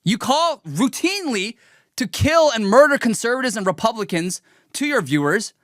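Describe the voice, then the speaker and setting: A man's voice: extremely high pitch, very animated, moderate pace, a bit bright, no echo, clean studio sound. A young man streaming a mocking, combative political rant, talking emphatically as a show host. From raised in the United States. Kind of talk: livestream reaction rant.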